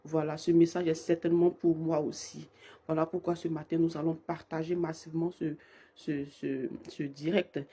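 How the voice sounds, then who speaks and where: A woman leading a live-streamed morning prayer with fervent, repetitive invocations, her voice hoarse from a cold. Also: low voice, flat delivery, moderate pace, dark tone. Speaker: prayer leader.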